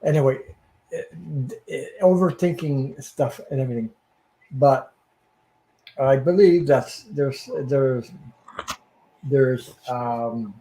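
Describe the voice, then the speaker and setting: Hoarse man giving a halting tarot reading. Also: mid-range voice, dark tone, rough recording. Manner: halting, searching for words. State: hoarse, losing his voice. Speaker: tarot reader guest. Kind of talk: casual tarot reading chat.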